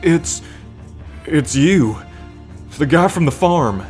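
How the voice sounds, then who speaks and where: A man's voice: on the high side, expressive, takes it slowly, a little mellow, faint music behind. A man playing a friendly, earnest half-bull man in an ASMR roleplay, talking in a chatty, folksy way. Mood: startled. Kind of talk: ASMR roleplay, acted speech to the listener.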